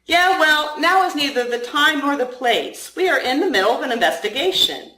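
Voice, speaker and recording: A woman's voice: high-pitched, lively intonation, moderate pace, pushed and bright, pitched above the performer's own voice. A woman voicing an irritated, earnest younger male FBI agent in a story reading. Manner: grumbling, put-upon. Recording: roomy, echoing room sound.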